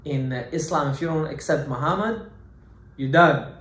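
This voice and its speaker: A rabbi's lively, echoey Torah class. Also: male voice, fairly high pitch, normal speed. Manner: emphatic, rhetorical questions, acts out objections loudly; peppers English with Hebrew and Yiddish terms. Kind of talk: animated Torah lecture. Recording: echoey room with faint background noise.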